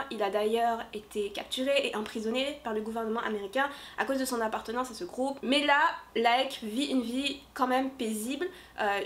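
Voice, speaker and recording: A woman vlogger and fantasy author reviewing books in a casual, upbeat way, with frequent filler pauses, filmed in a room she decorated for Halloween. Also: on the high side, slight background noise. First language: French. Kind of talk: casual book review to camera.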